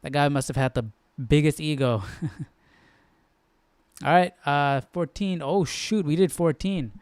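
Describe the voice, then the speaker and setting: A man's voice: fairly high pitch, takes it slowly, darkish tone, smooth, no room sound. A man hosting a live Bible study stream with informal commentary, thinking aloud as he goes. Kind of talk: casual study-along commentary.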